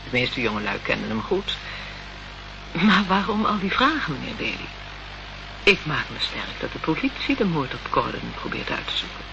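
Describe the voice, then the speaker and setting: A woman's voice: a bass voice, very animated, moderate pace, creaky, heavily acted. An actress playing a cool, guarded woman of a political movement in a radio play, formal and evasive. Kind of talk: Dutch radio play acting. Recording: dull, noisy old radio play recording.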